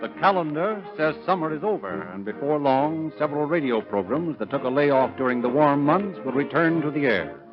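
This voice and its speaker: A man's voice: fairly high pitch, lively intonation, moderate pace, hardly any pauses. A man announcing and narrating in formal, emphatic 1930s radio style on a muffled old recording. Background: music.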